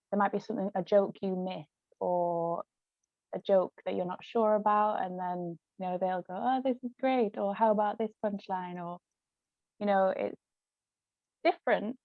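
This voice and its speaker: A woman's voice: medium pitch, no echo. A woman author and ghostwriter chatting casually as an interview guest on a video call. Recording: thin phone-like call audio, dry.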